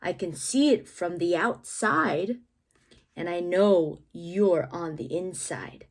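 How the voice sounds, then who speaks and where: A woman reading children's poetry aloud with theatrical, deliberate phrasing and playful emphasis, adding asides as the reader. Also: lowish voice, lively intonation. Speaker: read-aloud host, teacher-like reader.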